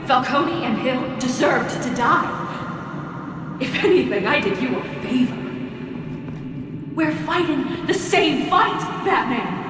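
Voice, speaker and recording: An actress playing a vengeful masked villain in a video game, self-righteous and menacing, with a theatrical, taunting delivery. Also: female voice, sits high, expressive, slow pace, pauses often, fairly bright tone, faint music behind, heavily acted. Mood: pleading conviction. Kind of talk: video game cutscene acting. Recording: distant, heavily echoing hall, clear background noise.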